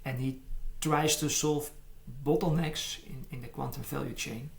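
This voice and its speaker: A man hosting a podcast, introducing his guest and asking questions in a halting, repetitive way. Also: medium pitch, fairly even intonation, creaky. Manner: halting, repeats words, non-native phrasing. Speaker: podcast host.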